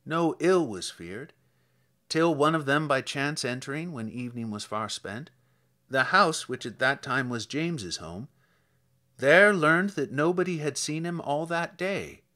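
A man voicing a kindly old village priest who recalls the dead, reading dramatic poetry in a measured, solemn, sorrowful way. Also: a little high, animated, bright, forceful tone.

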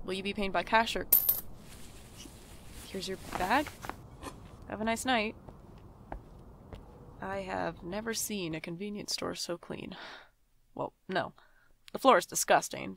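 Creaky-voiced, wry young slacker played by a woman. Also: on the low side, a bit bright, a little hiss or hum. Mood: polite. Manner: self-deprecating, dry asides, flustered when rushed. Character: wry, hapless, anxious twenty-five-year-old nonbinary slacker narrator. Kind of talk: audio drama narration and dialogue.

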